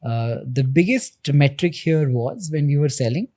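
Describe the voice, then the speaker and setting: A man in his thirties with an Indian accent, a podcast guest explaining things in a measured way with frequent pauses. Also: on the high side, expressive, mellow, no echo. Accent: Indian English. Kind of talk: podcast interview.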